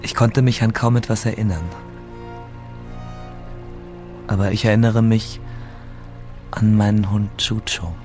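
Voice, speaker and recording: A man's voice: on the low side, level tone, moderate pace, a little mellow, soft background music, lightly acted. An actor playing a grieving seventeen-year-old boy fleeing war, telling his story in a subdued, reflective radio drama monologue. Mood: wistful, sad.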